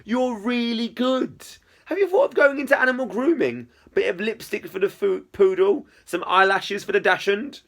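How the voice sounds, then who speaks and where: A man's voice: on the high side, big pitch swings, normal speed, fairly bright tone, voice pushed up. Man reading as an earnest young storybook schoolgirl. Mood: playful. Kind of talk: children's storybook character dialogue.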